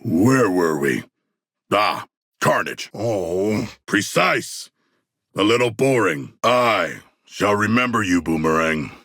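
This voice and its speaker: An actor playing a huge, eloquent humanoid shark warrior in a video game, earnest and fierce, with a gravelly voice and stilted, formal diction. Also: male voice, lowish voice, lively intonation, slow pace, a bit bright, dry recording, clean studio sound, full character voice. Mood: dry, menacing. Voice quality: gravelly, rough. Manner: formal, stilted, precise diction with big words. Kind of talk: game combat barks and dialogue.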